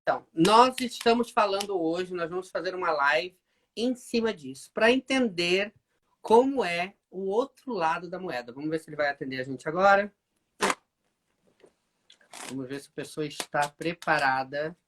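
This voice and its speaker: A man's voice: high-pitched, normal speed, a little hiss or hum. A Brazilian man with a Brazilian Portuguese accent, hosting a casual live stream from the UK and chatting with his viewers in Portuguese and accented English. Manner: chatty, animated, addresses viewers as "gente". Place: home in the UK.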